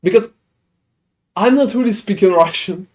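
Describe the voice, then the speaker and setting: A man's voice: sits high, moderate pace, a little mellow. A man from Sweden streaming a video game, chatting casually with viewers, rambling and swearing as he answers their questions. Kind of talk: casual gaming stream chat.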